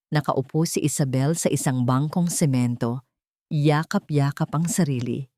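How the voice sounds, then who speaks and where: A woman narrates a scripted romantic drama in a low-pitched voice. The sound is dry and close, with almost no room around it. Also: darkish tone, no echo.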